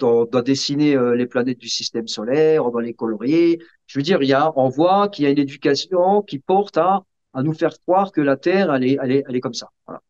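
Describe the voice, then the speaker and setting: A man being interviewed, explaining casually in a halting way and often checking that the listener follows. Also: mid-range voice, darkish tone. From Sicilian family background. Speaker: interview guest. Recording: dull, muffled lo-fi sound.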